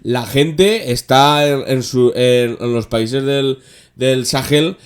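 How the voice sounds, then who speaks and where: A man with an accent from Spain hosting a casual, joking political chat, sarcastic and halting in delivery. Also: medium pitch, clear, resonant tone. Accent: Spanish from Spain. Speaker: podcast host.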